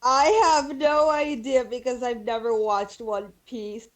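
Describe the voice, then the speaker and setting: A woman's voice: a little high, steady tone, measured pace. A woman chatting casually as a panelist on a fan fiction podcast. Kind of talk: casual chat.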